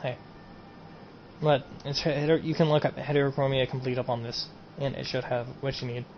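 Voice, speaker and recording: A man's voice: mid-range voice, steady tone, a little fast. Rambling lo-fi gaming streamer guy. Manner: rambling, repeats himself, trails off with "and everything". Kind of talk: casual mod showcase. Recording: dull lo-fi home recording, faint noise.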